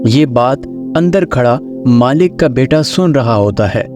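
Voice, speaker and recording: A man's voice: mid-range voice, expressive, measured pace. A man reading scripted narration of a children's story as the storyteller. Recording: music under the voice.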